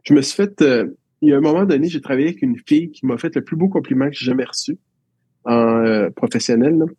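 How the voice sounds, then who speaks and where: A man in his thirties with a Quebec French accent tells stories from his school years in a relaxed, reflective way, often circling back and restarting his sentences. His voice is mellow and slightly husky. It sounds close and dry, with no room echo. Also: mid-range voice, fast talker. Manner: reflective, storytelling with many restarts. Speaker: teacher and interview guest. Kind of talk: casual interview conversation.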